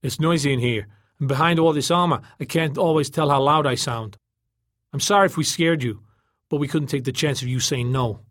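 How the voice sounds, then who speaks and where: Guy doing an eager armored superhero, loud n pushy, pitched up high, earnest, audiobook style. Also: fairly quick, dry recording, studio quality, natural voice. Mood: apologetic, earnest. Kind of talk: audiobook character acting.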